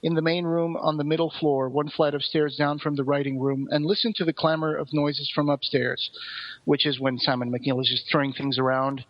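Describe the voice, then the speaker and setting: A man's voice: on the high side, level tone, a little fast. A man co-hosting a podcast, explaining things in detail in a casual discussion. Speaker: podcast co-host. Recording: roomy echo, dull muffled sound.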